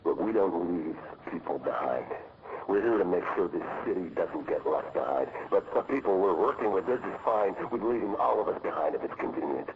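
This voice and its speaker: A persuasive turncoat agent. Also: male voice, sits low, brisk, vocal fry, phone-quality sound, slight background noise. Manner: calm, persuasive, grows intense. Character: embittered male agent plotting betrayal, persuasive.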